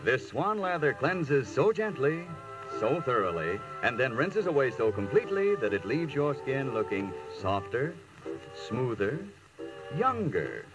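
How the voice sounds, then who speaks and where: A male announcer in an old radio commercial, persuasive and very varied in pitch. He sounds somewhat off-mic, with music behind him. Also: sing-song, unhurried, lo-fi sound. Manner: smooth persuasive sales delivery, addressing listeners. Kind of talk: scripted 1940s radio advertisement. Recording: old radio broadcast recording, somewhat distant.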